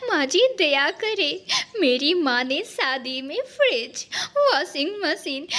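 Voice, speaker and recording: A woman's voice: sits high, big pitch swings, slow pace, pushed and bright, voice pushed up, full character voice. A woman voicing a meek young daughter-in-law bird in a cartoon, with a plaintive, submissive manner. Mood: tearful pleading.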